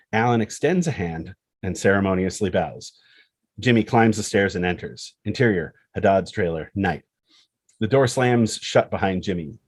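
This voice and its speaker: A man's voice: lowish voice, husky. A man reading stage directions as scripted narration for a screenplay table read.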